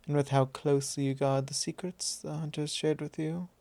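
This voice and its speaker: A man playing a possessive, teasing vampire lord in an intimate ASMR roleplay, speaking softly in a measured, drawling, formal way. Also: fairly high pitch, flat delivery, dry recording, natural voice. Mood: smooth, ominous fondness. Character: possessive male vampire lord, teasing, calmly probing his thrall.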